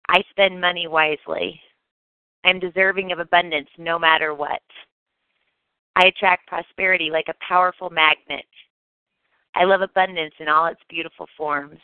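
A woman reciting affirmations for a guided meditation in measured, declarative sentences. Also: on the low side, clean tone, dry recording. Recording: dull, close, dry recording.

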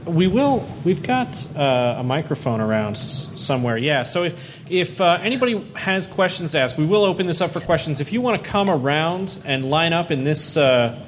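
A man moderating a live convention Q&A panel, easygoing and halting, with many fillers. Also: a little high, expressive. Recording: noisy live panel hall.

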